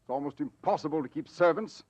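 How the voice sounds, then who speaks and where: An actor playing an irascible, dismissive middle-aged master of a manor, gruff and impatient, in 1940s radio style. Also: male voice, a little high, fast talker, a little mellow. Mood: irritated. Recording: dull old radio recording.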